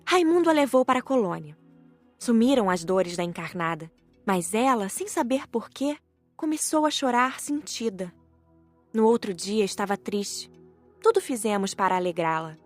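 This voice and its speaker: A woman's voice: mid-range voice, expressive, moderate pace, faint music behind. A woman reading scripted first-person audiobook narration in a composed storytelling manner.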